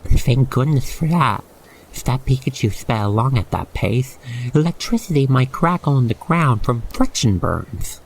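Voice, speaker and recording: Male roleplay narrator, gravelly creak, mid-range pitch, gentle scripted storytelling addressed to the listener, faint noise behind. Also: lively intonation, moderate pace, poor audio. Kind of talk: scripted second-person ASMR roleplay narration.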